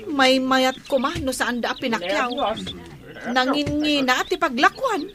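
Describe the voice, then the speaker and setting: A woman's voice: high-pitched, unhurried, crowd noise. A woman with a Filipino Ilocano accent playing a greedy, complaining married woman in a radio drama, whiny and argumentative. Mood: irritable. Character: greedy, complaining married daughter-in-law demanding a bigger share.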